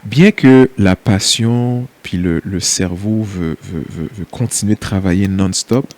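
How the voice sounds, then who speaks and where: A man's voice: on the low side, unhurried, darkish tone. A man from Quebec with a Québécois accent, speaking as an interview guest, hesitant with many fillers and easy laughs. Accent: Québécois French. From Quebec, Canada. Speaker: guest, nonprofit CEO. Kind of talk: casual interview chat.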